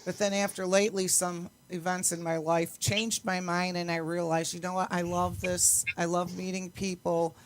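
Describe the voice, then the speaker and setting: A woman's voice: fairly low pitch, flat delivery, normal speed, hardly any pauses. An older woman in casual chat, self-deprecating and often laughing as she talks. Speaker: guest, singer and entertainer.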